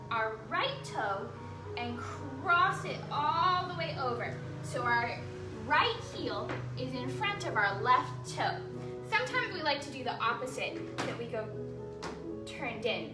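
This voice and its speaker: Young female kids' dance teacher, very animated and encouraging, recorded at a distance in an echoey room with music. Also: sits high. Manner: encouraging, praising as they go. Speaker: children's ballet teacher. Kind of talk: instructing a children's dance class.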